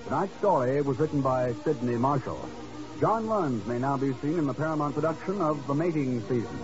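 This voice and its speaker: A man's voice: mid-range voice, lively intonation, fairly quick, muffled recording, over music. A man announcing from a script on 1950s network radio, heard on an old recording.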